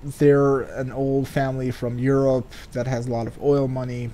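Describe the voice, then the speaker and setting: A man's voice: mid-range voice, little pitch movement, moderate pace, warm tone. A man doing casual game commentary and reading game text aloud, sardonic and free with swearing. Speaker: let's player.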